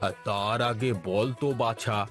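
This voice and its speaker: A man's voice: lowish voice, fairly even intonation, measured pace, a bit bright, faint music behind. A man voicing a lazy, easily flustered village landowner in a cartoon, with a blustering, exclamatory delivery. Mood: genial, pleased. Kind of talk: Bengali cartoon voice acting.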